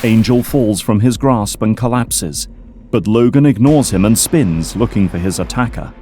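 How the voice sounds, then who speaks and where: A man's voice: lowish voice, moderate pace, warm tone. A man reading scripted, dramatic third-person narration for an audio drama as its narrator.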